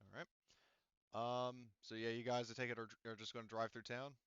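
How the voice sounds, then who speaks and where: A man's voice: medium pitch, little pitch movement, a little fast, a bit bright, clear, resonant tone, no echo, dull audio. A man running a tabletop game as game master, explaining rules patiently with many fillers.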